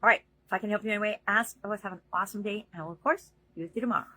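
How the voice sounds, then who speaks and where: A woman's voice: medium pitch, very fast, pushed and bright. A middle-aged woman talking casually in a daily motivational vlog as a business coach, earnest and conversational with frequent fillers.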